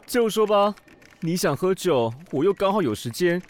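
A man's voice: sits high, big pitch swings, normal speed. A man with a Taiwanese Mandarin accent playing a proud, foul-mouthed, easily flustered police officer who blusters, grumbles and sputters in an audio drama. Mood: smug grumbling. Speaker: voice actor.

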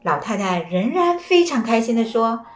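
A woman telling a fairy tale to children, lively and expressive. Her voice sits on the higher side. The room sounds roomy, with faint music behind her. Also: normal speed. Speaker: storyteller. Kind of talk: children's story narration.

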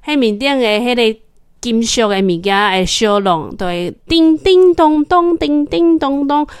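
A woman's voice: on the high side, expressive, measured pace, clear, resonant tone, dry recording, clean studio sound. A woman with a Taiwanese accent chatting casually with a young child, explaining things simply and asking questions. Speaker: host of a children's segment, talking with a young child. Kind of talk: casual parent-child chat about a picture book.

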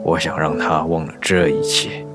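A man playing a troubled, earnest character in an audio drama, with a halting, hesitant delivery. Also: rumbling low, little pitch movement. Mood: heavy, resigned. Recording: music under the voice.

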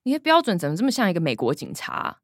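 A woman's voice: lowish voice, wildly swooping pitch, rapid-fire, dry recording, studio quality, exaggerated voice. An actress playing a sarcastic, teasing young working woman, the older sister in a radio drama, with a Taiwanese Mandarin accent. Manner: sardonic, teasing.

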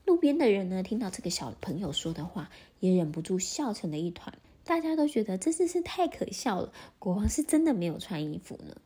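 A woman narrating a fairy tale for children in a gentle, expressive storytelling manner. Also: medium pitch, a little fast, hardly any pauses, husky.

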